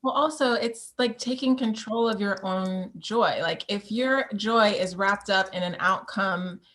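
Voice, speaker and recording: A candid woman talks through her thoughts in a relaxed, reflective way. Her voice sits at a middle pitch, and now and then a light laugh comes through. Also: unhurried. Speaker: podcast guest, Broadway performer. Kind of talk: casual conversation.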